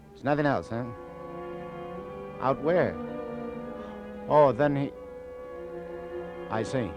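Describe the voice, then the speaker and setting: An actor playing a hard-boiled police detective, weary but firm, with terse, slangy delivery in old radio crime drama style. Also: male voice, medium pitch, dull audio, lightly acted. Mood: brisk. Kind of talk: 1940s-50s radio crime drama acting.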